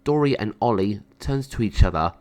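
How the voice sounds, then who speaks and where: A man's voice: lowish voice, lively intonation, normal speed, a little mellow. A man reading scripted narration from a children's story aloud. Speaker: storybook reader.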